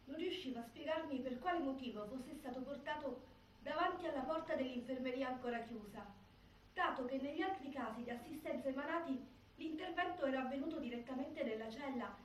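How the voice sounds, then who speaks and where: An actress playing a sober prison doctor in a stage drama, giving measured, formal, clinical testimony. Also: female voice, high-pitched. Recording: roomy theatre, distant mic, faint noise.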